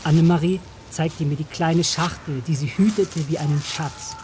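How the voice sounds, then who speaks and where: An actor playing a grown narrator who recalls a village childhood in measured, reflective radio drama storytelling. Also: mid-range voice, steady tone, noisy background, natural voice.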